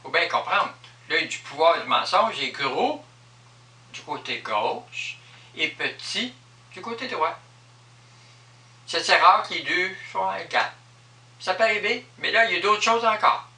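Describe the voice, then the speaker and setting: A man's voice: sits high, animated, unhurried, pauses often, pushed and bright. A man with a Quebec French accent giving an improvised explanatory monologue in an emphatic, repetitive manner. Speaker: vlogger explaining personal spiritual beliefs. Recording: echoey room, distant microphone.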